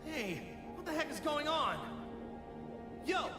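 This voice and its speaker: An easygoing, naive hero from an anime game. His high, boyish voice swings widely in folksy bewilderment. It rings with heavy echo, as if inside a mind. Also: very animated, slow, exaggerated voice. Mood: bewildered. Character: naive, easygoing male martial-arts hero. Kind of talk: anime video game voice acting. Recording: heavy echoing reverb, loud music behind.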